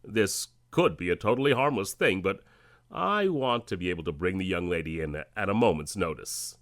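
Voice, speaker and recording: An actor playing a stern, calculating government director in an audio drama, clipped, deliberate and authoritative. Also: male voice, sits low, expressive, dry recording, studio quality. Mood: suspicious, commanding.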